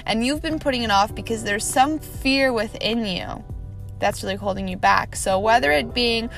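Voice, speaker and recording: A woman's voice: on the high side, normal speed, vocal fry, fairly bright tone, soft background music. A woman hosting her own motivational podcast, upbeat and encouraging, laughing often, a little snuffly from a cold. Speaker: podcast host. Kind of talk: motivational solo podcast talk.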